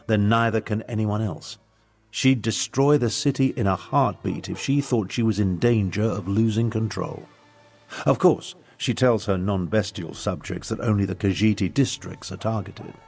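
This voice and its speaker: A man's voice: on the low side, moderate pace, gravelly, husky. An actor playing a haughty, scheming elder nobleman in a video game, with a formal, pompous manner. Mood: grave, lecturing. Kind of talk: scripted video game dialogue.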